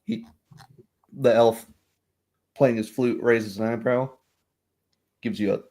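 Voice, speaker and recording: A man narrating a tabletop roleplaying game as game master, improvising in a halting, thinking-aloud way. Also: medium pitch, fairly even intonation, a little mellow.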